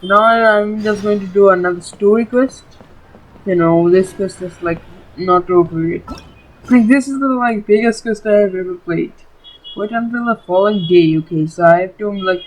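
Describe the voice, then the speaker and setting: A woman's voice: medium pitch, rough recording. A woman giving casual, chatty let's play commentary on a video game, leaning on little verbal tags. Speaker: gaming video host. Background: game music.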